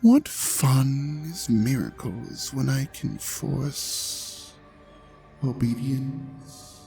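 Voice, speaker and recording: A performer voicing a seductive, smug ancient dragon god in an intimate audio drama, teasing and coaxing the listener with breathy, half-whispered phrases. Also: medium pitch, very slow, warm tone, faint music behind. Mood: smug, playful.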